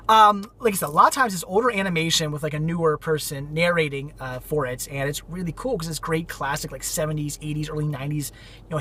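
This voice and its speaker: A man chats casually about his finds, rambling and upbeat. His voice sits high and sounds bright. He mentions he is losing it to a cold. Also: slight background noise. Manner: rambling, enthusiastic, repeats "very cool". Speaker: vlogger showing thrift finds. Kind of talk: casual vlog chat.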